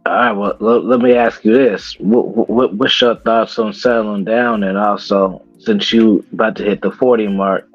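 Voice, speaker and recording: Husky man on dull, dry audio. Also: fairly low pitch, normal speed, hardly any pauses, no echo, soft background music. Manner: relaxed, slangy, stumbling restarts. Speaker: interview host. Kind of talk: casual interview chat.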